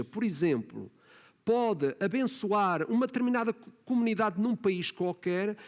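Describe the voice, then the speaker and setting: A man with a European Portuguese accent preaching a sermon as pastor, often adding tag questions and rising to emphatic points. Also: high voice. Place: church hall. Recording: long reverb of a church hall.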